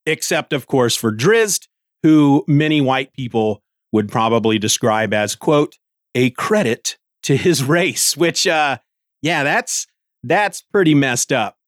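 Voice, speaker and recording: A middle-aged man hosts a solo podcast in a voice of average pitch. He talks casually and conversationally, drifting into asides and correcting himself as he goes. The sound is dry, with no room echo. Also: lively intonation, slow pace. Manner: conversational, digressive, self-correcting. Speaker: podcast host. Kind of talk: casual solo book commentary.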